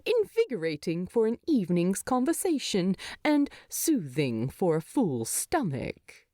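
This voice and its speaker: A fussy little storybook hobbit gentleman, voiced by a woman, proud and chatty. He explains things primly and earnestly, with a pitch that leaps about in a dry, close recording. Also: huge pitch swings, a little slow, no room sound. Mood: peeved, proud.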